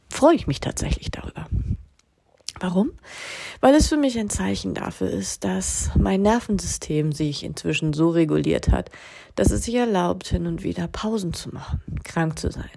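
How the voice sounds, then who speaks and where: Hoarse woman with a cold, gravelly. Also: on the low side, darkish tone. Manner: thoughtful, at times breathy and whispery. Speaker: podcast host and coach. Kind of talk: casual reflective podcast monologue. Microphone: phone.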